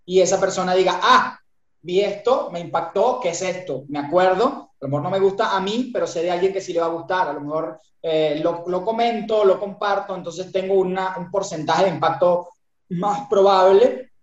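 A Venezuelan man with a Venezuelan Spanish accent, a business founder being interviewed, explaining his ideas in an animated way with many fillers. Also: high-pitched, normal speed. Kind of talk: interview answers. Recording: roomy, dull audio with music.

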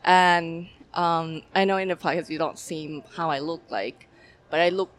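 Thai woman, halting casual talk. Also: deep voice. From Thailand. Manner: halting, many fillers, laughs often. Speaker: film director guest. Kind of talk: casual interview.

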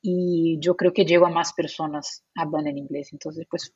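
Brazilian Portuguese-accented woman, lowish husky voice, dry muffled call audio, chatty explainer. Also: fairly even intonation, normal speed, a little mellow, no room sound. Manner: explanatory, laughs easily, many fillers. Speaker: guest, remote work expert. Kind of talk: casual interview talk.

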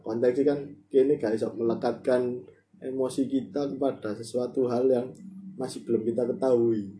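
A man's voice: medium pitch, fairly even intonation, normal speed, mellow, slight background noise, low-quality recording. A man with an East Javanese accent hosting a casual, playful chat, often repeating his phrases and slipping into regional slang. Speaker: podcast host.

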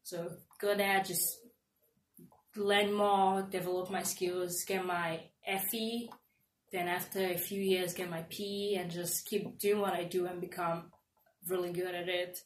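Low, flat-voiced young woman from Nigeria. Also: a little slow. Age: young adult. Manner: loose and chatty, with fillers and restarts. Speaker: interview guest, engineering student. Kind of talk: casual interview answers. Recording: roomy echo, faint noise.

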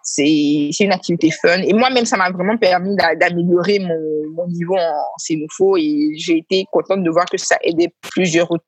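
A woman's voice: fairly low pitch, fairly even intonation, moderate pace, hardly any pauses, husky, no room sound. A woman speaking as an interview guest about reading workshops, talking in a hesitant way and repeating words as she searches for them. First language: Senoufo heritage, speaks it only at a basic level. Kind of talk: conversational interview answers.

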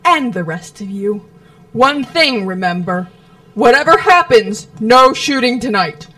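A woman playing a male criminal mastermind in a radio drama, imperious, deliberate and menacing. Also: on the high side, expressive, bright, forceful tone, poor audio. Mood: cold, menacing.

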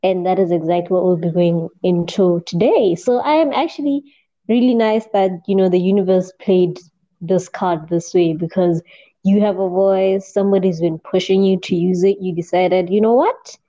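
A woman hosting a casual podcast chat, unhurried, often murmuring soft agreement while her guest talks. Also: fairly low pitch, steady tone. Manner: unhurried, lots of soft "mm" backchannels. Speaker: podcast host. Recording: dull lo-fi podcast audio.

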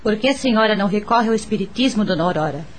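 An actress playing a discreet, kindly neighbour in a radio soap opera, speaking in a gentle, persuasive way. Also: female voice, medium pitch, lively intonation, quick pace, lightly acted. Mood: gently insistent. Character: discreet, kindly, well-meaning neighbour woman.

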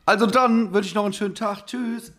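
A man's voice: high-pitched, fairly even intonation, brisk, fairly bright tone. A man playing a chatty, nosy mail carrier in an amateur school radio play.

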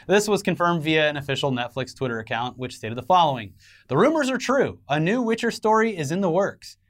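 A man's voice: fairly high pitch, big pitch swings, fairly quick, fairly bright tone. A man co-hosting an entertainment news show, giving conversational commentary with wry, joking asides.

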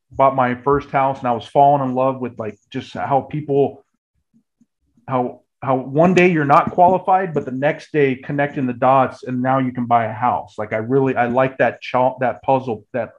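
A man's voice: mid-range voice, dull audio. An American man in his early forties telling his story in a casual, conversational way, often restarting and repeating phrases mid-thought. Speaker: guest, real estate agent.

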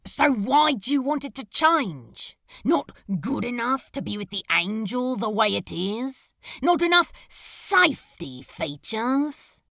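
A woman's voice: fairly high pitch, big pitch swings, slow pace, creaky, hardly any pauses, fairly bright tone, no room sound, studio quality, full character voice. A woman playing a brooding, sardonic male demon in a fan audio drama, taunting and wounded. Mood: wounded, defiant. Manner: sardonic, challenging.

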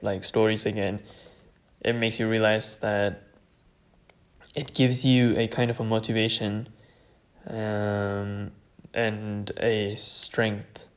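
Tired, slow-talking young man from Norway. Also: lowish voice, little pitch movement. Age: late teens. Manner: rambling, hesitant, personal storytelling. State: tired, late at night. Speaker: podcast host. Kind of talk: solo podcast monologue.

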